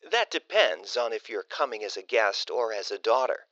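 A man's voice: fairly low pitch, very animated, moderate pace, a bit bright, no room sound, lo-fi sound, voice pushed up. A man voicing a sarcastic, controlling middle-aged mother with a dry, pointed manner in an audiobook story.

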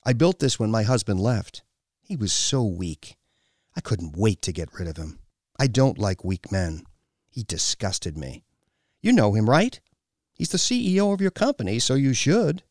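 A man's voice: fairly low pitch, big pitch swings, measured pace, darkish tone, dry recording, clean studio sound. A man voicing a stern, domineering foreign woman in an audiobook, with a commanding, clipped and scornful delivery. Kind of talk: audiobook character dialogue.

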